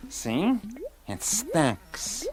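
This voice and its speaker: A man playing an eccentric, gleeful inventor doctor in a radio play, excitable and showman-like. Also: on the high side, drawn-out words, crowd noise, full character voice. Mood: eager, showy. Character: eccentric, gleeful male inventor doctor showing off his creations. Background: music.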